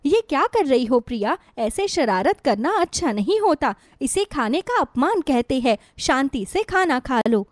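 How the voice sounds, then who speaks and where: A woman playing a poor, gentle, weary mother, earnest and gently chiding, in a moral-story dialogue. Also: high voice, very animated, moderate pace, hardly any pauses, dry recording, studio quality. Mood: gently scolding.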